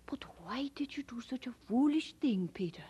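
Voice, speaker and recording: An actress playing a brisk, outspoken middle-aged Scottish aunt in an audio drama, with a husky voice. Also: female voice, on the high side, animated, warm tone. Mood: incredulous.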